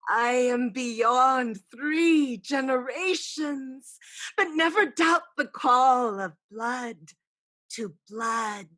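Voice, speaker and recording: High, slow, eerie ancestral spirit played by a woman. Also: a bit bright, full character voice. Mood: solemn, summoning. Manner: slow, incantatory, drawn-out calling. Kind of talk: theatrical staged reading.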